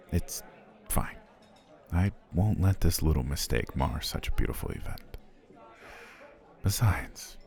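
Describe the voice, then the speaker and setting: A very deep, dark-toned potion seller, voiced by a man, speaks slowly and formally, heaping flattery on his dinner date. His gravelly voice often sinks into close, soft whispers, in the intimate manner of an ASMR roleplay. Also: exaggerated voice. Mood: fussy. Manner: formal, courtly, flattering speech. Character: smooth-talking male potion seller, formal and obsessive.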